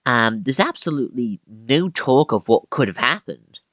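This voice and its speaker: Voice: medium pitch, sing-song, moderate pace, a bit bright, no echo. A YouTuber narrating a scripted video essay with casual asides and dramatic emphasis on key words.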